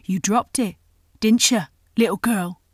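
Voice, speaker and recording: Sneering, taunting knife-wielding thug, read by a woman. Raised voice, husky edge. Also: medium pitch, unhurried, no room sound, studio quality. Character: menacing knife-wielding man taunting a girl.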